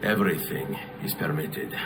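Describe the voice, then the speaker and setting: An actor playing a defiant, earnest young hero in a dramatic video game. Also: male voice, very deep voice, normal speed, far from the mic, noisy background. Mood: solemn. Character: defiant, vengeful young Italian man, hot-headed hero.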